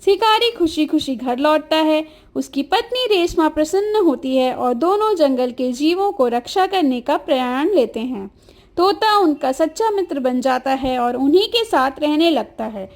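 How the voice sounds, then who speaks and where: A woman with a high-pitched voice narrates a scripted children's story. She delivers it as a storyteller for a cartoon. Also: animated, a little slow.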